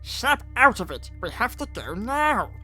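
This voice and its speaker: A woman's voice: a little high, big pitch swings, unhurried, bright, forceful tone, soft background music, heavily acted. A woman playing a bossy, exasperated student girl in a visual novel, blunt and scolding. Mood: alarmed. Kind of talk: visual novel character dialogue.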